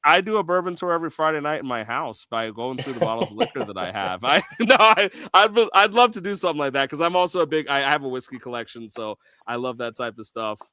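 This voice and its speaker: A man from Guyana co-hosting a podcast in casual chat, animated and joking, with frequent restarts. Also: fairly high pitch, quick pace, few pauses, smooth, no room sound. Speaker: podcast co-host.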